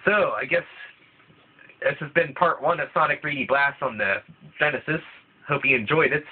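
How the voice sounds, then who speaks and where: A man's voice: a little high, moderate pace, slightly rough. A man with a slight cold, rambling through casual gameplay commentary with lots of restarts. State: voice thickened by a cold. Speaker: let's play gamer. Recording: tinny, phone-like lo-fi mic. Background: video game music playing.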